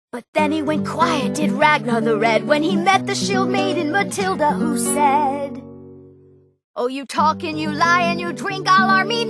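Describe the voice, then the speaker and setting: A young woman playing a female bard, singing heroic ballads in a fantasy game. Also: very high-pitched. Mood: rousing. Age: young adult. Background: plucked guitar-like string accompaniment.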